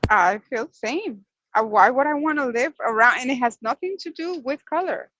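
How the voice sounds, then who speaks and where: A woman's voice: sits high, animated, normal speed, a bit bright, dry recording, low-quality recording. A woman from Washington Heights whose first language is Dominican Spanish. She co-hosts a casual livestream from a moving vehicle, reads viewer comments aloud and laughs easily. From Washington Heights, Manhattan. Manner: chatty, laughs easily, apologetic when she loses her place. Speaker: co-host. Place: inside a moving vehicle on a bumpy road.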